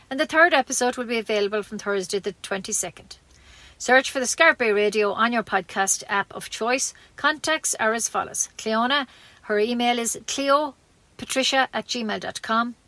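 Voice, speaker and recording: A woman's voice: fairly high pitch, pushed and bright, no room sound. A woman with an Irish accent reading scripted local news as a community radio newsreader.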